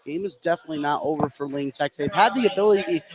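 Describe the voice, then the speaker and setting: A man's voice: on the high side, quick pace, a crowd in the background. A man giving live analysis as the color commentator on a basketball radio broadcast.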